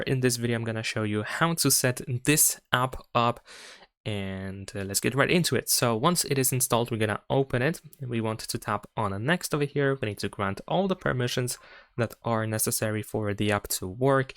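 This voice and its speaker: Clear-voiced man giving a step-by-step tutorial. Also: medium pitch, fairly bright tone, dry recording, studio quality. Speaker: tech tutorial presenter. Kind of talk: step-by-step instructional narration.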